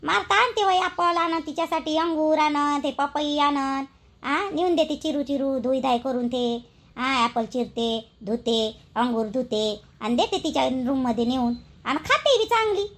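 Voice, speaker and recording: A woman's voice: high-pitched, a little slow, a bit bright. A woman voicing a suspicious, gossipy village mother in a cartoon, complaining in a Vidarbha Marathi accent. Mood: complaining, doubtful. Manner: gossipy, grumbling, repetitive.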